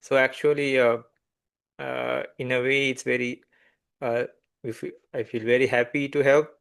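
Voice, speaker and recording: A man whose first language is Sinhala, a meditation teacher answering gently and hesitantly, with many fillers. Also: mid-range voice, little pitch movement, moderate pace, vocal fry, smooth. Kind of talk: conversational interview answers.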